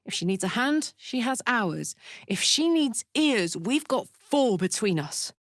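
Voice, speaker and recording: A dry, close recording of a brash warrior woman from a fantasy video game. She is salty and blunt but big-hearted and earnest. Her delivery is unhurried, with lively, wide swings in pitch. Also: no room sound, heavily acted. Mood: protective, solemn.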